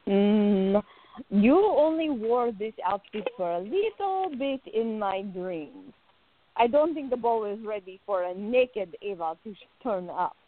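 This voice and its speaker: An actress playing a playful, adoring, flirtatious woman in love in a radio play, with a teasing, excitable manner. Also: mid-range voice, measured pace. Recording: narrow phone-like, dry sound.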